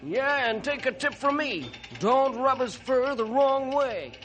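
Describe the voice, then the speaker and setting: A man playing a plucky, slangy railroad underling in a 1950s radio adventure, talking brisk and cheeky to his boss. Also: very high voice, lively intonation. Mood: urgent, cautioning.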